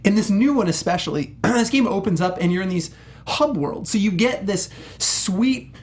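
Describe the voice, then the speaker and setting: A man's voice: high-pitched, animated, normal speed. A man co-hosting a casual discussion show, talking enthusiastically and emphatically, often saying "like". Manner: enthusiastic, emphatic, heavy use of "like". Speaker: panel show co-host. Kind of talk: casual film and game discussion.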